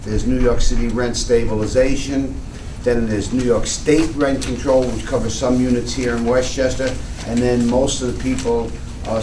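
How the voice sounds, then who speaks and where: A man who directs a tenant organization, giving a loud, explanatory workshop talk with frequent restarts. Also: mid-range voice. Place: community meeting room. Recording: echoey room, distant mic, noisy.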